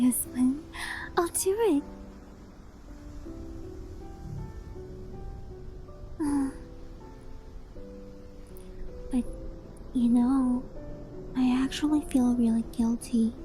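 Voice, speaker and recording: A woman voicing a doting, clingy fox-spirit wife in an intimate ASMR roleplay, coy and hesitant, often slipping into whispers. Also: sits high. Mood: shy, hesitant. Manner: coy, hesitant, affectionate, softly breathy with whispered phrases. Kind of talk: ASMR roleplay, intimate sleep-aid monologue.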